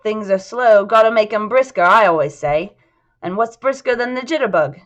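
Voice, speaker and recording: A woman's voice: mid-range voice, moderate pace, pitched above the performer's own voice, close to an everyday voice. A woman voicing an eager, cheeky but nervous working-class British man from a novel, chatty and colloquial. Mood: flirty, hopeful. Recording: dull lo-fi sound.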